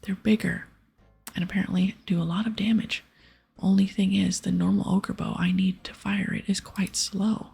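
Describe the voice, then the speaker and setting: A woman's voice: mid-range voice, level tone, normal speed, darkish tone, faint music behind, exaggerated voice. Woman reading as whispery, practical fantasy adventurer. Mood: exasperated, explaining. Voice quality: breathy, often whispered. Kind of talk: game dialogue read aloud in ASMR.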